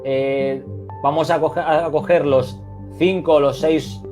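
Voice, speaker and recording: A man's voice: on the high side, steady tone, takes it slowly, mellow, soft background music. A man with a Spain Spanish accent talks as a football coach on a video call. He is reflective and stresses his points firmly. He often restarts his sentences and fills the gaps with "eh". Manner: reflective, emphatic, many restarts and "eh" fillers. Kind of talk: webinar interview answers.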